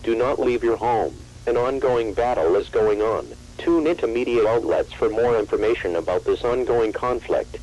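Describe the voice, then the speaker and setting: A man voicing an impersonal emergency alert announcer, reading formal instructions in a flat, official manner. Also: medium pitch, moderate pace, few pauses, close to an everyday voice, poor audio.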